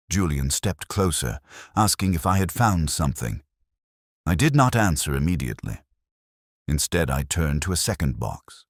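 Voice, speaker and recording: Very deep-voiced man narrating a mystery. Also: dry recording, studio quality. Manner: solemn, literary, measured storytelling. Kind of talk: scripted first-person audio mystery narration.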